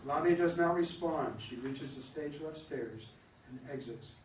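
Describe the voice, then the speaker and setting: A man's voice: fairly high pitch, unhurried, darkish tone. A man reading stage directions aloud at a play reading, in a plain, matter-of-fact way. Recorded from a distance in a roomy theatre with faint noise.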